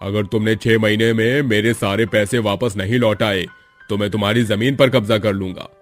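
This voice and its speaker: A man's voice: fairly low pitch, fairly even intonation, normal speed, faint music behind, natural voice. A man voicing a stern village moneylender in a moral story, speaking curtly and with menace. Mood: threatening.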